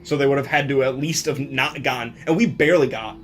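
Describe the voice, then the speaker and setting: A man's voice: medium pitch, fairly quick. Brash, sweary man at a game table. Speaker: player in a tabletop role-playing game. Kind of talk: casual chat at a game table. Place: in-person game session indoors. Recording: background music under the voice. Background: background music.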